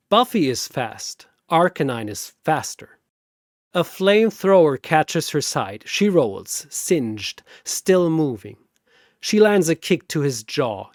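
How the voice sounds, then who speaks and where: A man narrating a scripted battle story in a theatrical, deliberate way, like a dramatic commentator. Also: fairly high pitch, dry recording, studio quality.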